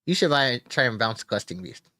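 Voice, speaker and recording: A man's voice: mid-range voice, big pitch swings, fairly quick, sharp tone, no echo. A man giving casual gameplay commentary while playing a card game, thinking aloud, chuckling and cheering his wins.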